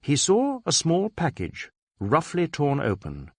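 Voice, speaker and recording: A man narrating a detective novel as an audiobook, with measured, dramatic storytelling. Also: on the high side, wildly swooping pitch, a little mellow, no echo, studio quality.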